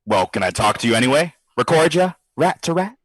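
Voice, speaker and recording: A cocky, sarcastic sceptic in an audio drama. His husky voice swings up and down as he chats wryly into his own recorder, with a dry, close sound. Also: medium pitch, sing-song, a little fast, fairly bright tone, no echo. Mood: breezy, chatty. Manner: flippant, chatty, wry. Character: cocky, sarcastic male sceptic recording himself on a ghost study.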